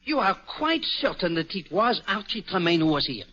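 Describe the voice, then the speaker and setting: An actor playing a methodical, grave detective with a Belgian French accent and formal, courteous speech in a 1940s radio mystery. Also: male voice, sits high, sing-song, moderate pace, pushed and bright, heavily acted. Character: famous Belgian detective, middle-aged man, methodical and grave. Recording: dull 1940s radio recording.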